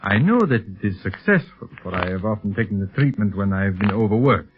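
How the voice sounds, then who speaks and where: An actor playing a suave, secretly criminal scientist with a formal, condescending manner in a 1930s radio serial. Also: male voice, on the low side, animated, fairly quick, natural voice. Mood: smooth, boastful. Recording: crackly, narrow-band 1930s radio recording.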